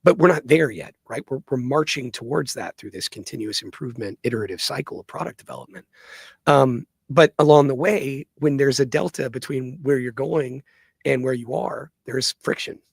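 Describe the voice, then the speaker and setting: Emphatic husky man in conversation. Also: mid-range voice, normal speed, no room sound, clean studio sound. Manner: emphatic, checks in with "right?". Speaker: company co-founder, podcast guest. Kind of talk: conversational interview answers.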